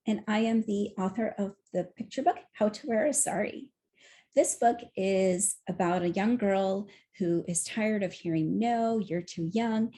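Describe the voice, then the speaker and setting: A woman's voice: medium pitch, mellow. A woman in her forties, a children's author presenting a picture book to young viewers. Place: small room at home.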